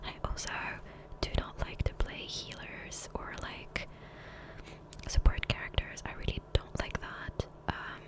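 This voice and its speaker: Whispering woman, soft ASMR ramble. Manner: casual, unhurried rambling. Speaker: ASMR creator. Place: voiceover recorded separately from gameplay. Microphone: Blue Yeti USB microphone, close.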